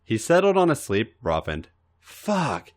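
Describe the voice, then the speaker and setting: A man with a fairly low voice reads a story aloud like a dramatic audiobook. His pitch moves very widely from phrase to phrase, and his delivery is emphatic and theatrical. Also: very animated, moderate pace, fairly bright tone. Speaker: podcast host reading fan fiction aloud. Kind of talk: dramatic audiobook-style narration.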